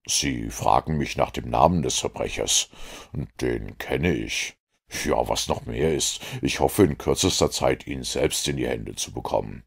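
A man voicing a calm, confident detective who explains his reasoning in a measured, lecturing way. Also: extremely low pitch, vocal fry, husky, full character voice. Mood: resolute. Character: calm, confident male detective, middle-aged.

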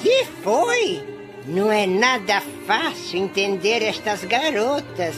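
A woman's voice: medium pitch, very animated, slow pace, over music. A woman voicing a fussy, timid, nosy little creature sidekick in a cartoon dub, with a Brazilian accent. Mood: wondering. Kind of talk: animated cartoon dub acting.

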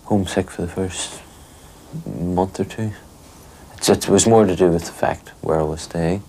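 A man's voice: deep voice, normal speed, creaky, dark tone, slightly rough. A young man, speaking thoughtfully in a documentary interview. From Northern Ireland. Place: small bedroom.

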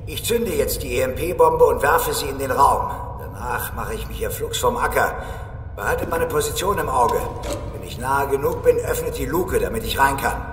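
An actor playing a brave, composed space-cowboy team leader in an audio drama, dryly confident. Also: male voice, medium pitch, moderate pace, fairly bright tone, slight background noise, lightly acted. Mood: commanding. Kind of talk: audio drama acting and first-person narration. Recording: echoey, distant, music behind.

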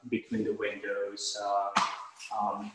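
A man's voice: on the low side, completely flat delivery, noisy background. Czech man, echoey bare room. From Czech Republic. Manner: halting, many fillers and restarts. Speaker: homeowner. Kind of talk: casual chat on a live house tour. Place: large bare room with hard floors, indoors. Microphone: camera a few steps away. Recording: echoey room with long reverb.

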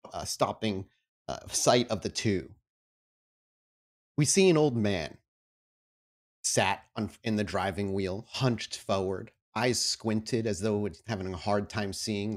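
A man narrating a tabletop role-play scene as the storyteller, setting it out descriptively. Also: on the low side. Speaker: game master, storyteller.

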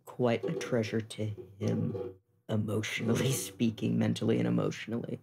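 A player in a tabletop game podcast, talking casually in deliberate, halting phrases. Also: mid-range voice, level tone, creaky.

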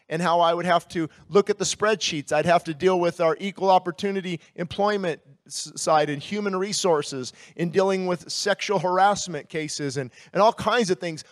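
An American man in his fifties, a pastor giving a candid, conversational testimony with pauses and repeated words into a handheld microphone. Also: high-pitched. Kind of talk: sermon with personal testimony. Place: outdoor stage at a baseball stadium in the evening.

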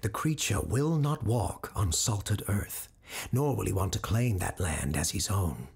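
A man playing a calm expert host of the uncanny in an audio drama, measured and dropping to hushed whispers. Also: lowish voice, gravelly, husky, full character voice. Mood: grave. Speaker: radio host answering supernatural questions. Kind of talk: scripted audio drama narration. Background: music bed.

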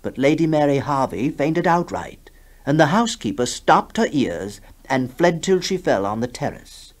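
A man's voice: on the high side, big pitch swings, moderate pace. A man narrating a ghost story in a formal, theatrical manner. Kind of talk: dramatic reading of a ghost story.

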